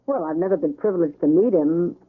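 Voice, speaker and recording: An actress playing a frank, curious, gossipy hospital nurse with an easygoing manner in a 1970s radio drama. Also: female voice, fairly low pitch, fast talker, breathy edge. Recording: tinny old radio broadcast recording.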